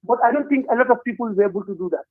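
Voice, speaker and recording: High-voiced man over a tinny phone line. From Gambia. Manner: earnest, deliberate, frequent hesitations. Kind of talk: political discussion.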